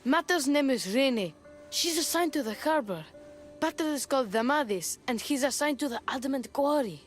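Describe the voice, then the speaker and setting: High-pitched earnest little boy. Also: lively intonation, moderate pace, soft background music. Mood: worried, hopeful. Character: small, earnest young boy, polite, admiring and worried. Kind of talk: dramatic video game acting.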